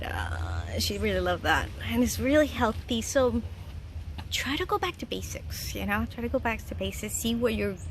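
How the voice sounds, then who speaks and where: A woman from Chile in her thirties talks through casual life advice from her home garden. Her voice sits a little high, and she is earnest and chatty, laughing easily. A faint background hiss runs under the recording. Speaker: vlogger.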